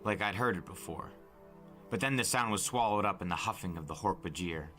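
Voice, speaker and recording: Male dramatic narrator: low, sharp-edged, intense, deliberate audiobook delivery over music. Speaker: storyteller, podcast narrator.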